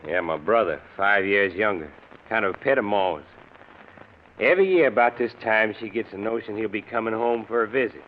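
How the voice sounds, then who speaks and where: A man's voice: on the low side, a little fast, natural voice. An actor playing a dutiful, gloomy mountain man with a folksy rural American accent in a radio drama. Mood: glum, confiding. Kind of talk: old-time radio drama acting. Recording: muffled old radio recording with hiss.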